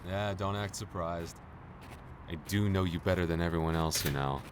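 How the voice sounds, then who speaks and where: A man acting a stubborn but regretful young man in an intimate boyfriend roleplay, speaking in a halting, earnest way with stammers and sighs. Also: low-pitched, background noise, natural voice. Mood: resolute. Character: stubborn, regretful young man confessing love to childhood friend.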